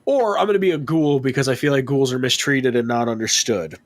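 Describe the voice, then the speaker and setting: A man with a stuffy nose, chatting on a podcast about his tabletop characters, animated and full of swearing. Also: mid-range voice. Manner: animated storytelling, swearing, quick asides. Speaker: podcast guest. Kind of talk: casual chat about tabletop gaming.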